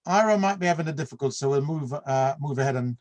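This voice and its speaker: A man's voice: medium pitch, lively intonation, fast talker, darkish tone. A man hosting an online meeting over a video call, calling on each person by name in an easygoing way. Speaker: meeting host.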